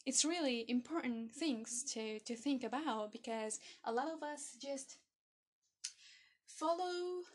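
A high-pitched young woman whose first language is Arabic talks slowly and earnestly, urging listeners to find what they enjoy. She halts often, repeating words and restarting her phrases as she searches for them. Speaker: motivational speaker. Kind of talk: motivational monologue to camera.

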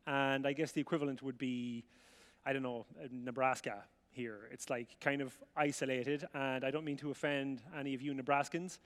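A man's voice: medium pitch, steady tone, normal speed. A middle-aged Irish man from the rural midlands, telling his life story to an audience with chatty, self-mocking humour and acted-out dialogue. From Ireland. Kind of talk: storytelling talk to a live audience. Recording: reverberant hall sound.

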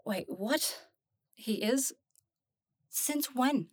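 A woman's voice: high voice, lively intonation, drawn-out words, husky, no room sound, clean studio sound, exaggerated voice. A woman playing a blunt, sarcastic and grumpy young dead woman in a fantasy audio drama. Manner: sarcastic, casual, slangy.

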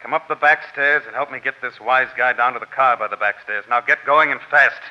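An actor playing a cold, careful crime boss who speaks in a measured, formal, menacing way, in 1940s radio style. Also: male voice, mid-range voice, a little hiss or hum, lightly acted. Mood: alarmed, commanding. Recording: muffled old radio recording.

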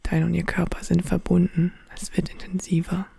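A woman whispers close to the mic in a slow, intimate, breathy voice. Her tone stays flat and dark, with a gravelly edge under the hush. The sound is dry and close, with no room around it. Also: lowish voice, no room sound. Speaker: narrator. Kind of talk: whispered second-person audio drama narration. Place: studio.

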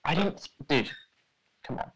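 A man's voice: measured pace, fairly bright tone. Young man rambling through a story. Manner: rambling, many fillers and restarts, laughs at own story. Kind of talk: casual podcast storytelling.

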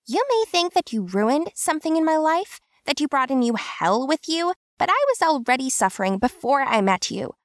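A tender but firm young woman pleads with a wounded friend, as a woman reading a story puts her on. Her voice sits well above the narration and carries a faint gravelly edge. The sound is close and dry, with no room around it. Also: very high-pitched, expressive, unhurried, no room sound, voice pushed up, exaggerated voice. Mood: stern tender. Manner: pleading and soothing, then stern.

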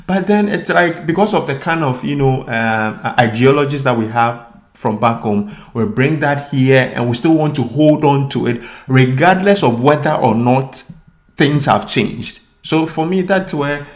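A man, talking through a relaxed podcast chat at a medium pitch. He tells his stories with energy and jumps his voice up high whenever he quotes someone else. It sounds like an easygoing conversation with a co-host. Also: unhurried. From Ghana. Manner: animated storytelling, acting out others' lines in a raised voice.

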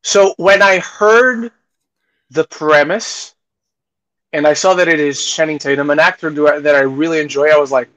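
A man co-hosting a podcast, discussing films casually in a measured way and qualifying his opinions carefully. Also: on the high side, a bit bright, no echo, poor audio. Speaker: podcast co-host.

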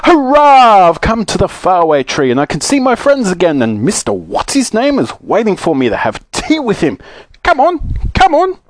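A man voicing an excitable, muddled old man who mishears and gets easily vexed, in a children's story. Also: a little high, unhurried, no room sound, pitched above the performer's own voice. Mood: overjoyed.

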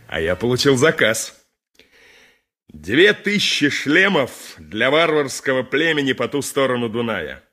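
An actor playing a boastful, boisterous armourer in a radio play, exclamatory and chuckling. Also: male voice, medium pitch, sing-song, measured pace, pauses often, bright, forceful tone, faint music behind, heavily acted. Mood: boastful, jolly. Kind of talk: 1980s radio play acting.

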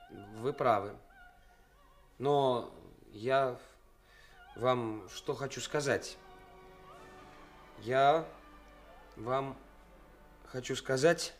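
An actor playing a nervous young suitor in a radio play, halting and deferential, with bursts of cynical bravado. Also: male voice, mid-range voice. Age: young adult. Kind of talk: theatrical radio play acting. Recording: 1980s radio play with faint music.

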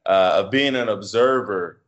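A man talking casually as a podcast guest, reflective and halting, with many fillers and restarts. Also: on the low side. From United States. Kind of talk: casual interview conversation.

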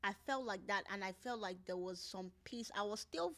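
A woman's voice: medium pitch, normal speed, a bit bright. A woman hosting a podcast interview in a casual, heartfelt conversation, speaking in a reflective, personal way and repeating phrases for emphasis. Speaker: podcast host interviewing a guest.

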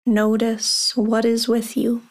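A woman leading a guided meditation, speaking softly and calmly with long pauses. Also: on the high side. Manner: slow, soft and calm, with long pauses.

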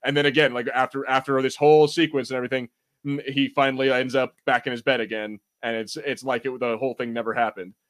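A man's voice: medium pitch, little pitch movement, fast, fairly bright tone. A man co-hosting a podcast, chatting informally in a rambling, sometimes sweary way. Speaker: podcast co-host. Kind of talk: casual chat, episode review banter.

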